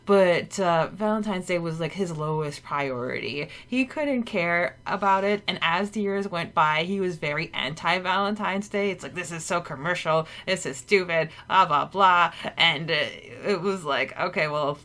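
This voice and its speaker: A woman's voice: lowish voice, normal speed, hardly any pauses, fairly bright tone. A woman co-hosting a fan podcast from a friend's home, chatting casually with fillers and laughter. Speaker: podcast co-host. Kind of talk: casual chat.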